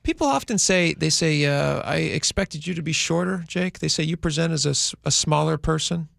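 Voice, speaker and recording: Gravelly, chatty radio co-host man. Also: on the high side, moderate pace, fairly bright tone, no echo, clean studio sound. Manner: chatty, joking, self-deprecating. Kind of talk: casual radio banter. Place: radio studio. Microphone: studio microphone.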